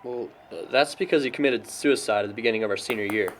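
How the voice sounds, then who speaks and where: A man's voice: on the low side, fast talker, a little hiss or hum, close to an everyday voice, low-quality recording. A young American man acting a sombre friend telling stories in conversational film dialogue. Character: sombre young friend recounting a classmate's tragic downfall.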